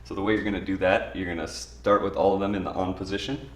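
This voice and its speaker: A man's voice: sits low, fairly even intonation, fast. A man giving an informal, step-by-step spoken product tutorial in a plain way. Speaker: product demonstrator. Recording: echoey room, distant mic, faint noise.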